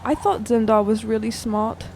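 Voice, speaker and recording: A woman's voice: fairly high pitch, normal speed, gravelly, a little mellow, slight background noise. A woman playing a tabletop game, speaking casually and a little hesitantly as she improvises. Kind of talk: casual improvised in-character chat.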